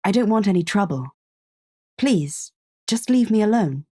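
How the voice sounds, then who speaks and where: A woman's voice: medium pitch, lively intonation, unhurried, warm tone, breathy edge, voice pushed up. A woman voicing a timid, downtrodden male Stegosaurus office worker with a meek, wary manner in a narrated story. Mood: wary, frightened.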